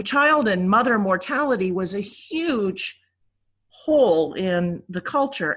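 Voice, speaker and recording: Casting: female priest leading a study class, mid-range voice, deliberate explanatory delivery with pauses. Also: medium pitch, a bit bright. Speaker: priest teaching a Bible class. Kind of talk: informal explanatory teaching.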